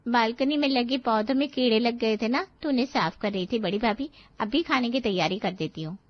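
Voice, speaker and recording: A woman's voice: a little high, brisk, no echo, dull audio, close to an everyday voice. A woman voicing a dutiful, respectful housemaid in a storybook tale, meek and deferential.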